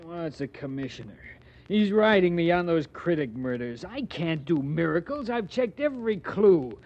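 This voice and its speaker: An American actor playing a harried, grumbling homicide sergeant in a 1940s radio drama, gruff and defensive, on a dull old recording. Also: male voice, a little high, big pitch swings, normal speed, a little mellow. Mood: frustrated, grudging.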